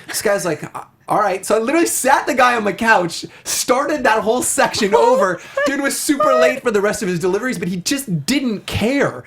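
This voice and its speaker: A man's voice: high voice, lively intonation, fairly quick, creaky, background noise. A man co-hosting a casual discussion show, telling anecdotes in a chatty, enthusiastic way. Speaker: talk show co-host. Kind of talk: casual video game and film discussion.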